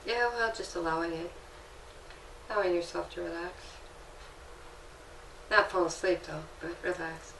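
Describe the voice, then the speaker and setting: Woman, Reiki practitioner, calm, instructive, slightly low, faint background hiss. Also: normal speed, gravelly, pauses often. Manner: calm, explanatory, gently instructive. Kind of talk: guiding a healing session. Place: indoor treatment room with fan. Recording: echoey room, mic at a distance.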